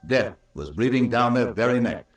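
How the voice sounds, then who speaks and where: Vintage radio captain, played by a man: authoritative, emphatic storyteller, lively inflection, roomy distant recording. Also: medium pitch, natural voice. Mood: explanatory, grave. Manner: deliberate, emphatic, storytelling explanation. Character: shrewd, authoritative police captain explaining a case. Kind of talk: old-time radio drama acting.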